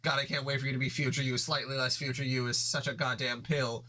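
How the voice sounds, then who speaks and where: A furious young troll who hurls crude insults, as a man voices him. The voice is forceful and tense. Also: medium pitch, level tone, fast talker. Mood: gloating. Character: angry foul-mouthed young male troll.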